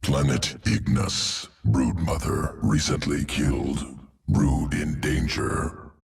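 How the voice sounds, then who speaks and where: A man's voice: very deep voice, steady tone, slow pace, full character voice. A man voicing a cold, clinical alien creature in a video game, guttural, in terse emotionless phrases. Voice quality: guttural, gravelly. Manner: terse clipped phrases without articles, emotionless. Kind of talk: video game mission briefing.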